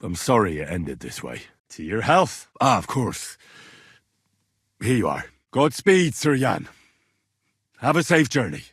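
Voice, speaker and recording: A man's voice: on the low side, very animated, a little slow, pauses often, a bit bright, husky, no echo, studio quality, heavily acted. An actor playing an earnest, courteous young medieval messenger and man-at-arms, speaking plainly and politely in video game dialogue. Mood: apologetic. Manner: polite, plain-spoken, deferential to betters.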